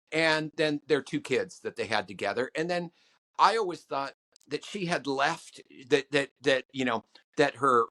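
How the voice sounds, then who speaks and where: A man being interviewed as a songwriting guest, talking in halting stops and restarts with many fillers. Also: bright, forceful tone. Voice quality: low voice. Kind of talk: podcast interview answers.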